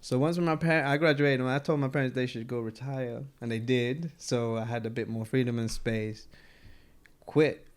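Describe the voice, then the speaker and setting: Young man, smooth voice. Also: medium pitch, moderate pace. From Colombia. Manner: relaxed, rambling, laughs often. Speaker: interviewee. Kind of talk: casual interview chat.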